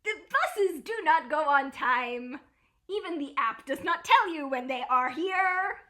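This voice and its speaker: A woman's voice: high-pitched, expressive, unhurried, sharp tone. A woman chatting casually as a co-host. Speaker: podfic co-creator and host. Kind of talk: casual chat endnotes.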